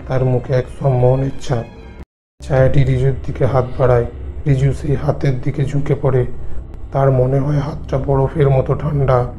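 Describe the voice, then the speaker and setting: A man's voice: mid-range voice, level tone, normal speed, dark tone, lo-fi sound, soft background music. A man narrating a scripted horror story as the narrator.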